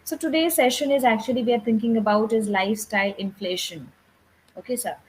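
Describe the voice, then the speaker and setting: A woman's voice: a little high, a little fast, a little hiss or hum, rough recording. A woman working as an English tutor, asking questions and encouraging the learner with frequent affirmations. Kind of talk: interviewing a learner.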